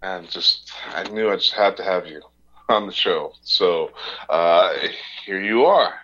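A man hosting a podcast, speaking haltingly with many uhs. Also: low-pitched, fairly bright tone. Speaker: podcast host. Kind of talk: casual podcast announcements. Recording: dull lo-fi audio.

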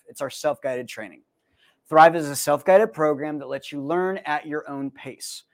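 An American man hosting a podcast, upbeat and joking with his guest before reading a brisk outro. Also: on the high side. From United States. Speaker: podcast host. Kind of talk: casual podcast chat and read outro.

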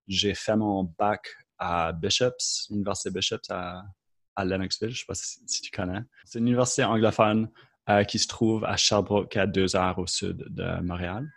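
Man, Acadian French accent, thirties, lowish voice, earnest, halting, podcast guest. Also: steady tone, creaky, a bit bright. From Moncton, New Brunswick, Canada. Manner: hesitant, many restarts and fillers, earnest. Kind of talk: casual conversational interview.

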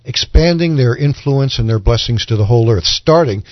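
A man's voice: medium pitch, expressive, normal speed, dry recording. Dry, slightly muffled man explaining scripture. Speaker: religious podcast panelist explaining Bible prophecy. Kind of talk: conversational Bible discussion.

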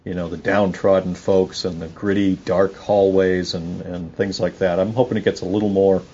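A man's voice: low voice, flat delivery, normal speed, warm tone. A man giving a casual spoken review of TV shows as a listener feedback message. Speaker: podcast listener leaving feedback. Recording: muffled, noisy home-recorded audio message.

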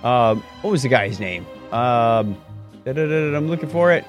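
A man's voice: mid-range voice, normal speed, faint music behind. A man co-hosting a casual tech podcast, rambling and correcting himself as he talks. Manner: rambling, self-correcting, lively. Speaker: podcast co-host.

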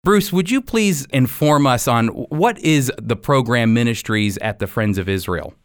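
A man with a smooth, resonant voice hosts a faith-based radio program. His pitch sits in the middle for a male speaker, and his delivery is earnest, with plenty of emphasis. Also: animated, unhurried. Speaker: radio show host.

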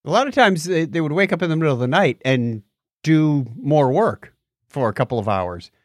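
Male podcast co-host, jokey and casual, animated delivery, dry close sound. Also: a little high, normal speed, no room sound. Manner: jokey, teasing. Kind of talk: casual light-hearted podcast chat.

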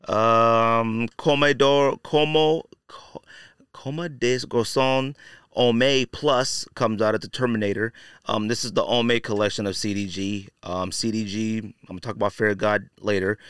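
Clear-voiced man, casual podcast host. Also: medium pitch, a bit bright, dry recording, clean studio sound. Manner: slangy, conversational, opinionated. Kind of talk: casual solo podcast commentary.

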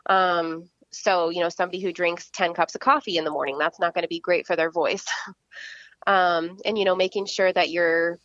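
A woman speech-language pathologist calmly explaining voice care in a casual interview, with frequent fillers. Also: fairly low pitch, moderate pace, no echo, muffled recording. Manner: explanatory, steady, many fillers.

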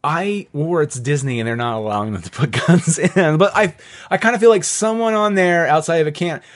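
A man hosting a podcast, chatting casually in an animated, rambling way and swearing freely. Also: on the high side, fairly quick, dry recording, studio quality. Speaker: podcast host. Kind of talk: casual chat.

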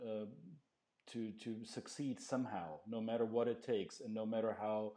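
Low, mellow male podcast host. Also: fairly even intonation. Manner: measured, thoughtful, reframes guest's words. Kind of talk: interview.

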